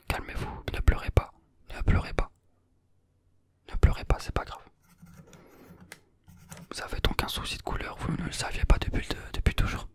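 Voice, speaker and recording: A young adult whispering throughout an ASMR roleplay as a playful, teasing examiner who gently reassures the listener. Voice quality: soft unvoiced whisper.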